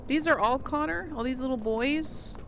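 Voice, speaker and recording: A woman's voice: high voice, moderate pace. Woman casually thinking aloud, faint noise behind. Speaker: let's play host. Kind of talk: casual game commentary.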